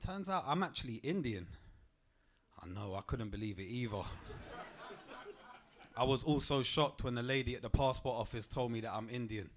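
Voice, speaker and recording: A young man, doing a stand-up comedy set on a club stage with a deadpan, punchy delivery. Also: normal speed, long pauses. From England. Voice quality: deep voice.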